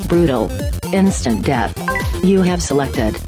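A woman voicing a calm, detached video game menu system in measured, clipped announcements. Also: low-pitched. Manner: measured announcer-like delivery, clipped short phrases. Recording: electronic music behind the voice.

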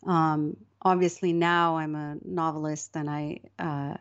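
A woman's voice: low-pitched, flat delivery, measured pace, clear, resonant tone, lo-fi sound. A middle-aged woman from Morocco, a novelist being interviewed, speaking thoughtfully with many fillers and restarts. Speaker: novelist guest. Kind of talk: interview conversation.

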